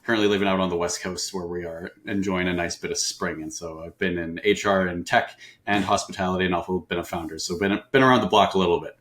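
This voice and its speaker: A man from Canada, a guest on a podcast, talking in a relaxed, easygoing way with a few fillers. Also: deep voice, fast talker, hardly any pauses. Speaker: guest, people and culture executive. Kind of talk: casual conversation.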